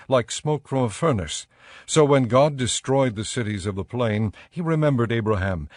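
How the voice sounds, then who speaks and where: A man narrating scripture from a script in a measured storytelling manner. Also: medium pitch, a little mellow, dry recording. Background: faint background music.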